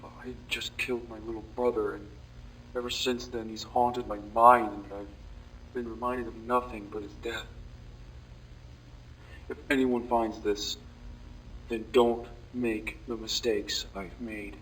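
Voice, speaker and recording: A man playing a guilt-ridden, brooding young man confessing dark memories in a somber, halting audio-log monologue. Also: medium pitch, little pitch movement, close to an everyday voice. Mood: haunted, remorseful. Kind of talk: scripted audio-log monologue, horror fan drama. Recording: distant mic with faint background noise.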